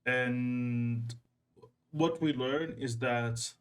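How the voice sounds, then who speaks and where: A man hosting a reaction video with casual, off-the-cuff commentary, talking in a rambling, halting way and repeating himself. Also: mid-range voice.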